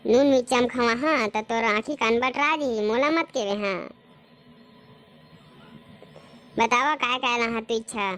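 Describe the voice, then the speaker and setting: A woman playing an exasperated, sharp-tongued village wife in a comic cartoon, grumbling colloquially with a Chhattisgarhi accent. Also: fairly high pitch, normal speed, natural voice. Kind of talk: comic cartoon dialogue in dialect.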